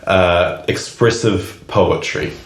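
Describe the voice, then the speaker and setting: A man with a deep, low voice chats loosely on a podcast. He speaks frankly, laughs often and swears freely. The sound rings in an echoey room. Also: slow. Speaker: podcast co-host. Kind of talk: casual chat.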